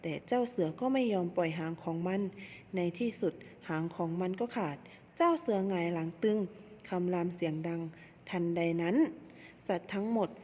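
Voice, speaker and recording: A woman's voice: lowish voice, moderate pace, warm tone, a little hiss or hum. A young woman student narrating a children's story in short, simple phrases, in a reverberant hall. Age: young adult.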